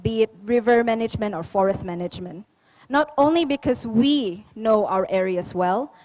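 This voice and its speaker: A young adult woman delivering a measured, formal prepared speech to a forum. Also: fairly high pitch, a little mellow, low-quality recording. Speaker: youth panel speaker.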